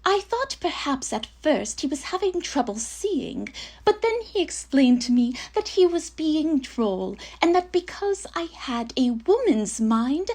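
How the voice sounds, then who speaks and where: Prim young Victorian gentlewoman, played by an actress, naive and fashion-proud; high, animated voice, genteel comic stage monologue. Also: full character voice. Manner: mannered, genteel, archly earnest. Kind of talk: theatrical comic monologue, reading a letter aloud.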